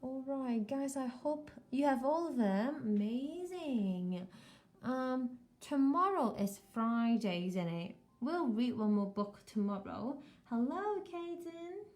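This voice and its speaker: A woman's voice: sits high, animated, slow, darkish tone. A woman chatting casually with children as a live storytime host, encouraging and full of questions.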